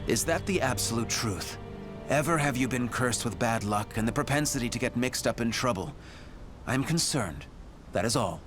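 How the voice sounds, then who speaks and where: An actor playing an earnest, loyal warrior comrade in a video game, speaking formally and deliberately. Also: male voice, mid-range voice, expressive, lightly acted. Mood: concerned, sincere. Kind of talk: video game cutscene acting. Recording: loud music underneath.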